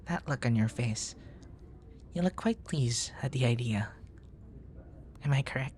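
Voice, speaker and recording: Man playing a soft-spoken, flirtatious bookish nerd. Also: mid-range voice, lively intonation, slow, a little hiss or hum. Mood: tender, teasing.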